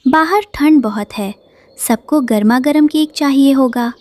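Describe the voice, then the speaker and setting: A woman's voice: high voice, a little slow, dry recording. A woman voicing a cheerful, motherly bird who runs a bakery. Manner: warm, motherly, welcoming.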